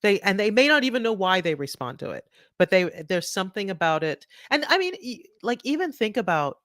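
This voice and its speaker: Middle-aged woman guest, animated and chatty, dry close mic. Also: mid-range voice, fairly quick, no echo. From Trinidad. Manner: animated, many restarts and "right?" tags. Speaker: author guest. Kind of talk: conversational interview.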